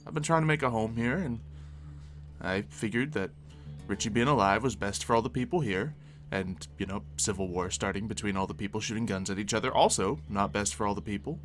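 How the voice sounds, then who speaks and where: A man's voice: on the low side, brisk, soft background music, close to an everyday voice. A man playing an easygoing, wry survivor loyal to his settlement, talking casually in an improvised game roleplay. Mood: earnest, casual.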